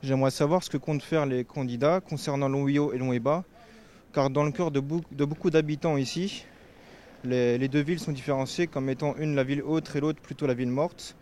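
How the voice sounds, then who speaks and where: A man's voice: mid-range voice, fairly even intonation, fairly quick, darkish tone. A man, a member of the public, asking candidates a recorded question. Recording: clear ambient background noise.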